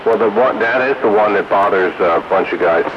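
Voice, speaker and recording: A man's voice: on the low side, a little fast, crowd noise. An American man chatting casually as a radio hobbyist over a two-way radio. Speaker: radio hobbyist in a group chat. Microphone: two-way radio, recorded from a receiver stream. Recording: hissy, muffled CB radio transmission.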